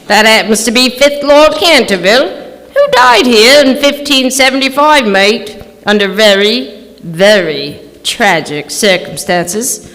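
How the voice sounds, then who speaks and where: A woman's voice: on the high side, animated, unhurried, a bit bright. A woman playing an ominous housekeeper in a live old-time radio play, theatrical and foreboding. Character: ominous English housekeeper of a haunted castle. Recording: echoey hall stage recording.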